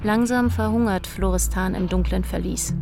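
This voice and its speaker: A woman's voice: medium pitch, normal speed, gravelly. A woman narrating a scripted story in a radio feature. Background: music.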